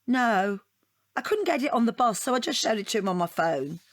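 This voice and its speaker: An actress playing an opinionated, defensive art student in a comic audio drama, frank and matter-of-fact. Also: female voice, on the high side, animated, a little fast, no room sound, studio quality, natural voice. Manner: rambling, matter-of-fact, self-justifying.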